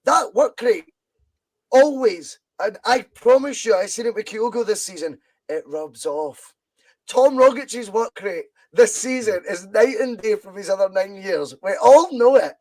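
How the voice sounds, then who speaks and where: A man's voice: high-pitched, expressive, a little slow, a little mellow, low-quality recording. A Scottish man hosting a podcast, talking football with passion and repeating points for emphasis. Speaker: podcast host. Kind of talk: casual football chat.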